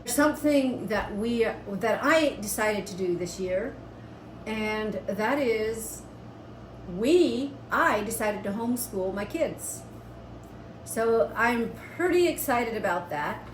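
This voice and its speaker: Higher-pitched woman chatting in an echoey room. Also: slow. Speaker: homestead vlogger and host. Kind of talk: casual chat. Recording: roomy echo with steady background noise.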